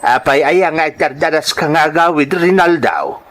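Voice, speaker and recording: A man's voice: fairly high pitch, moderate pace, a bit bright, natural voice. A man playing a stern, suspicious farmer father in a radio-style drama, scolding and questioning, with an Ilocano accent.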